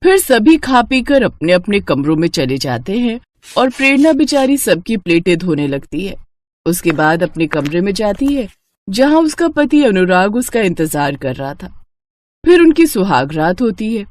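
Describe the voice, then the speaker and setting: A woman narrating a scripted animated family story. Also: mid-range voice, moderate pace, no room sound.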